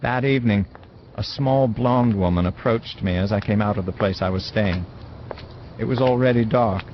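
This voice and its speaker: Radio play narrator: male actor as reflective English man, low voice, measured and wistful, slightly muffled sound. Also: a little hiss or hum, close to an everyday voice. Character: reflective Englishman recalling his youth in Los Angeles. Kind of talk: dramatised scripted narration. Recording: dull muffled audio under music. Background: clear background music.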